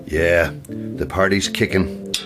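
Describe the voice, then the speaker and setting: A man's voice: low voice, steady tone, takes it slowly, over music. An actor playing a sardonic, grumbling male companion in a naturalistic audio drama, with a wry manner.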